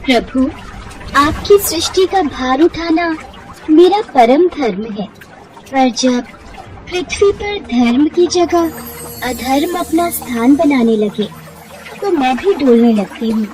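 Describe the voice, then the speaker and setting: A woman's voice: high voice, lively intonation, slow, a little mellow, distant mic, a little hiss or hum, low-quality recording. A woman playing a suffering earth goddess, solemn and pleading, in a mythological story dramatization. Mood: anguished pleading.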